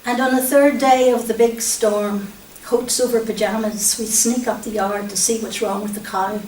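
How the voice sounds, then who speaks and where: A woman reading her poetry aloud at a live event, in measured, deliberate phrases with pauses. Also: on the high side, steady tone, a little mellow. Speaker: poet reading own work. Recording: roomy, distant mic with faint hiss.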